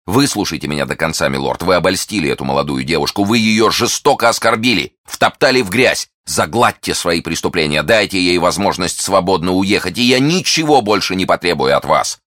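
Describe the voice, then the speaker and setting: A man's voice: low voice, lively intonation, fairly quick, few pauses, a bit bright, dry recording, studio quality. Man playing a fervent, righteous zealot officer. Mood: accusing. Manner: insistent, heated, solemn. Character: fanatical young officer, righteous, fervent accuser.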